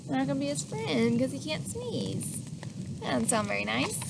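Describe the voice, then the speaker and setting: High-voiced woman reading a picture book. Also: normal speed, vocal fry, very noisy. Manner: animated, asks the listener questions, acts out sneezes. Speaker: children's book reader.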